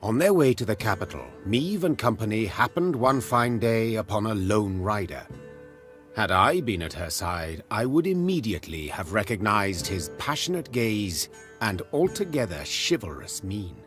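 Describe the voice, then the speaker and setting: An actor playing the storyteller of a game, narrating a war tale in a literary, theatrical way. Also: male voice, on the low side, very animated, moderate pace, a bit bright. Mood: wry, reflective. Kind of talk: dramatic scripted storytelling narration. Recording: music under the voice.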